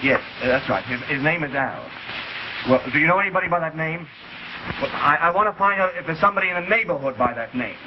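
A man's voice: on the high side, animated, quick pace, close to an everyday voice. An actor in a 1950s television drama playing an earnest, harried man leading a search, hesitant and repeating words. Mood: anxious, halting. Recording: noisy muffled 1950s TV broadcast.

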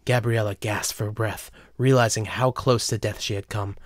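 A man narrates a dramatic story from a script. His voice is fairly low and the recording is dry and close. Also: fairly even intonation, normal speed, no room sound.

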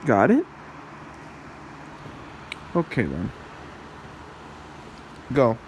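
A man voicing a possessive, taunting demon husband in an ASMR roleplay, with a mocking manner and a gravelly voice. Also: mid-range voice, wildly swooping pitch, drawn-out words, slight background noise, exaggerated voice, rough recording.

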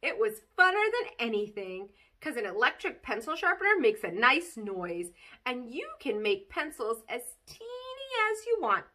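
A woman voicing a silly, excitable, mischievous little girl telling her story from a children's storybook, in a dramatic, bubbly manner. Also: a little high, a little slow, exaggerated voice. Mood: gleeful. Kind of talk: expressive children's storybook read-aloud.

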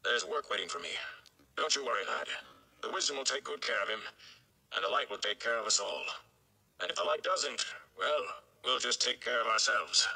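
Weary male village blacksmith for a fantasy storybook, acted by a male reader: steady, homespun, gravelly, gruffly reassuring. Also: medium pitch, moderate pace, long pauses, voice pushed up, heavily acted, poor audio. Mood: stoic, resolute.

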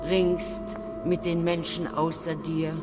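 A woman's voice: lowish voice, little pitch movement, takes it slowly, over loud music. An older actress playing an ancient, revered wise woman who speaks in a solemn, prophetic way in a radio drama.

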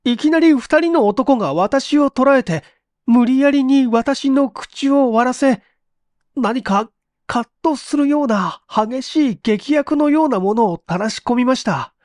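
A man voicing an agitated, desperate accused man giving halting, strained testimony in a story reading. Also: very high-pitched, lively intonation, darkish tone, no room sound, studio quality, pitched above the performer's own voice. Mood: agitated pleading.

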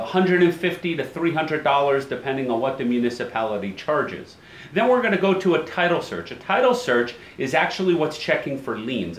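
A man in his forties, seated at his office desk, explaining real estate title searches to camera in a brisk, plain-spoken way and repeating key phrases. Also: mid-range voice, reverberant, slight background noise, poor audio. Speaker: title company owner, show host.

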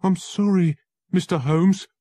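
A man's voice: low voice, sing-song, slow, a little mellow, no room sound, clean studio sound, heavily acted. A man voicing a frightened young male lawyer who pleads with desperate urgency.

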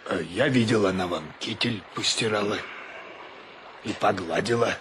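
Low-voiced, flustered fatherly ship's captain, played by a man. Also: normal speed, fairly bright tone, lightly acted. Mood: hesitant, puzzled. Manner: hesitant, repeating words. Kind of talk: radio play acting. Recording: noisy radio drama recording.

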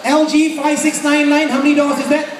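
A middle-aged man with a Malaysian accent doing loud, bantering, repetitive live sales patter as an auctioneer. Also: very high voice, monotone, fairly quick, distant mic. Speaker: sale auctioneer and emcee. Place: large open warehouse with a crowd. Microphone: handheld mic over PA, filmed from the audience. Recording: echoey, noisy PA recorded from the crowd. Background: crowd noise.

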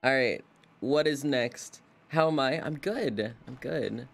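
A man's voice: medium pitch, creaky. A man streaming a video game with casual, rambling commentary, joking playfully and reading dialogue options aloud. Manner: playful, rambling, quick to joke.

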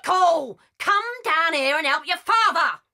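Shrill, snappish British mother. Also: measured pace, sharp tone, full character voice. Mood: harried, irritated. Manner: snappish, scolding. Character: brash, impatient, neglectful mother. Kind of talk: dramatized children's audiobook acting.

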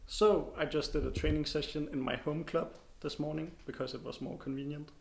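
Young male athlete vlogger, slightly high and gravelly voice, camera held at arm's length, faint noise behind. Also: fairly quick. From Denmark. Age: young adult. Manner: relaxed, chatty, filler-heavy. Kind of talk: casual vlog narration. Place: apartment living room indoors, then car and outdoors. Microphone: handheld camera at arm's length.

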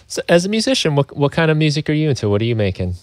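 A man hosting a music production podcast, chatting in a relaxed, conversational way over a video call from his home studio. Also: on the high side, rapid-fire. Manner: relaxed, conversational, chuckles while talking. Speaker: podcast host. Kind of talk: casual interview chat.

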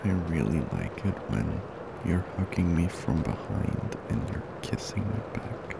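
A man doing an intimate boyfriend ASMR roleplay close to the mic, murmuring softly, drowsily and affectionately. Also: big pitch swings, a little slow, heavy background noise. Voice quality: low, gravelly, creaky. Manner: soft, drowsy, affectionate murmuring, often breathy half-whisper. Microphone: close ASMR microphone.

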